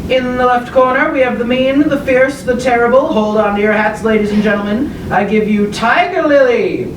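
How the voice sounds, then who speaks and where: A woman voicing a bombastic, hyped-up boxing ring announcer in a staged play, drawing out names like a showman. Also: close to an everyday voice. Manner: booming showman drawl, drawn-out names. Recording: echoey room, distant, loud rumble.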